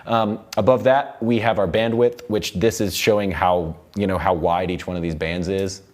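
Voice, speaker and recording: Low-voiced man giving a casual tutorial. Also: steady tone, moderate pace. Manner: informal, loosely worded explaining with repeated words. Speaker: music production instructor. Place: recording studio control room.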